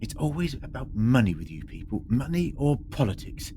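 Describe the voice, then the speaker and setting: A man's voice: mid-range voice, very animated, normal speed, natural voice. Guy playing a witty scornful time traveller in a space radio play, mid voice, noise behind him. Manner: sardonic, lecturing. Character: sardonic, quick-witted male time-travelling alien adventurer. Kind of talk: audio drama acting.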